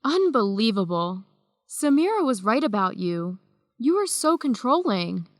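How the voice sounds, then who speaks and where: A woman's voice: a little high, big pitch swings, a little slow, no echo, studio quality. An actress playing a firm, principled young woman with a direct manner in an educational radio drama. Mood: firm, indignant. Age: young adult.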